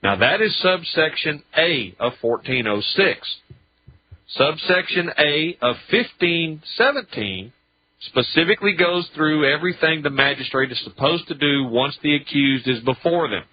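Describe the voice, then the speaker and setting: A man's voice: fairly high pitch, animated, unhurried. American man lecturing on muffled talk radio. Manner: emphatic, methodical, spells out points step by step. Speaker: radio show commentator on traffic law. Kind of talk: explanatory legal commentary on a talk show. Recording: dull lo-fi talk radio audio.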